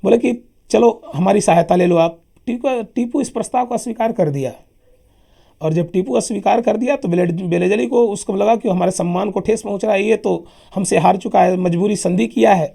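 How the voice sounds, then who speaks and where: A man with a sore throat teaching a lively history lesson for exams, emphatic and chatty, often calling his listeners sir. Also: sits high, moderate pace. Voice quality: husky, gravelly. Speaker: exam coaching teacher. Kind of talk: lively classroom history lecture.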